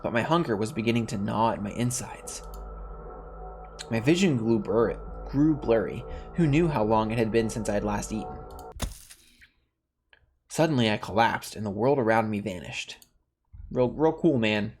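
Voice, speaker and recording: A man's voice: fairly low pitch, animated, moderate pace, pauses often, darkish tone. Man narrating a horror game over faint music. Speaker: gaming video host. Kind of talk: reading visual novel narration aloud with casual asides.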